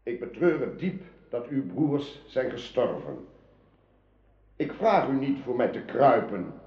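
A solemn young king speaks slowly, with wide, sweeping intonation. He is magnanimous and dignified in a radio play, recorded dull and roomy. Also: medium pitch, big pitch swings. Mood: earnest. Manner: solemn, formal, declamatory. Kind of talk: radio drama acting.

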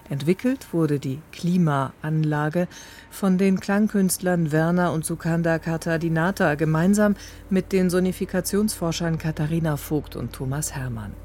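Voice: mid-range voice, dark tone. A radio announcer reading a scripted programme preview. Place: dry studio.

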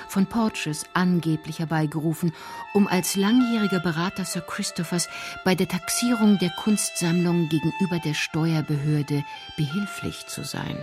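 Low-voiced woman narrating radio drama.

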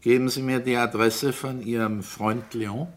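A man's voice: medium pitch, fairly even intonation, moderate pace, lightly acted. A man playing a cool, persistent private detective in a German radio crime drama, putting terse, controlled questions with dry scepticism. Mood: probing, businesslike.

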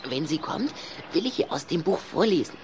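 Touchy boy vampire, played by a woman, low-pitched, proud and theatrical, radio play with faint noise. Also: very animated, fairly quick. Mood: lovesick. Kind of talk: German children's radio play acting.

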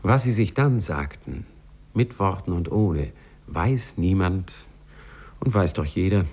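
An actor as a wry, knowing storyteller, narrating a 1950s German radio play in a measured way. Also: male voice, low-pitched, warm tone, breathy edge. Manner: wry, measured, addresses listeners. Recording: 1950s radio recording, faint music behind.

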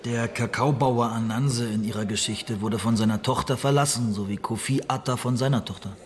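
An earnest investigator played by a male actor speaks at an ordinary male pitch. He probes and insists as he questions villagers, in the manner of a radio drama. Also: steady tone, normal speed, close to an everyday voice. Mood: probing. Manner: probing, insistent. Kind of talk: audio drama acting.

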